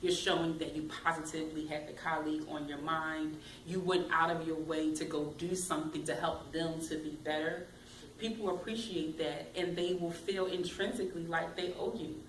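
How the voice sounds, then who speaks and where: Woman career coach, bold and expressive, low-pitched; distant echoey recording. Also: normal speed, slight background noise. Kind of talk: interview answers, motivational advice. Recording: echoey room, mic at a distance.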